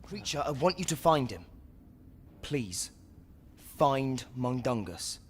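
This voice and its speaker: Earnest young wizard, lively pitch. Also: male voice, slow, slight background noise. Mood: gentle. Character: earnest, determined teenage boy wizard. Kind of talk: video game voice acting.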